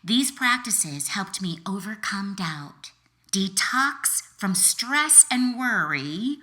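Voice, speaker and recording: A woman author reading her self-help book aloud as narration, speaking directly to the listener in deliberate, encouraging phrases with pauses. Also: medium pitch, sharp tone. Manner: direct second-person address, encouraging. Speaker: author reading an excerpt from their own book.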